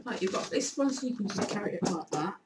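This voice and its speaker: A woman from England with a croaky voice, chatting in a rambling, animated way through a shopping haul vlog at home. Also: medium pitch, fast, far from the mic, background noise. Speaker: YouTuber. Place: small room indoors.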